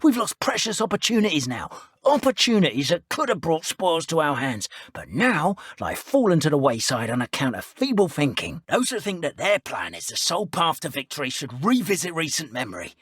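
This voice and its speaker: A man voicing a pompous, sneering dark wizard villain in a video game, with theatrical, formal, old-fashioned phrasing. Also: fairly high pitch, very animated, fairly quick, husky, no room sound, studio quality, full character voice. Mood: pompous, weary.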